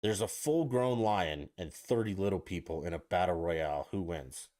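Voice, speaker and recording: A man's voice: low-pitched, expressive, normal speed, darkish tone. A man co-hosting a podcast, asking crude questions and bragging in casual banter, a little tipsy. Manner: brash, joking, boastful.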